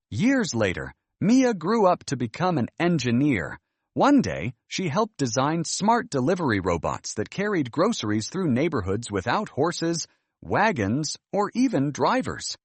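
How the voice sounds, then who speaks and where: A man narrating a scripted moral story for children in an expressive, earnest way. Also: fairly high pitch, husky, dry recording.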